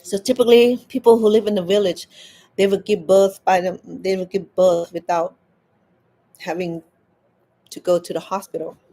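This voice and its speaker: Woman telling a story haltingly. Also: medium pitch, fairly even intonation, warm tone. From Cambodia. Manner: halting, repeats words as she searches for them. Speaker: crochet vlogger. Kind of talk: casual storytelling while crafting.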